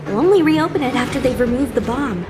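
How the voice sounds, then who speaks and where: An actress playing an eager, chatty young recruit knight in a video game, earnest and a little proud. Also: female voice, sits high, lively intonation, fast talker, noisy background.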